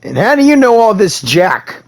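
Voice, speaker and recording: A man's voice: high-pitched, big pitch swings, moderate pace, slight background noise. A man giving casual, laid-back, reactive gameplay commentary with mild swearing. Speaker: game streamer.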